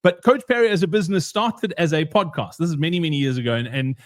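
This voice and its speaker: High-pitched South African man hosting a podcast. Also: fast talker. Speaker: podcast host. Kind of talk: casual podcast interview.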